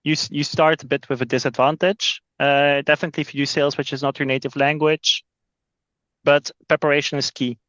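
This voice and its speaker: A man's voice: on the high side, little pitch movement, brisk, a bit bright, dry recording, lo-fi sound. A man from Belgium, a sales executive talking as a podcast guest, often repeating words as he restarts his sentences. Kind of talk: casual interview talk.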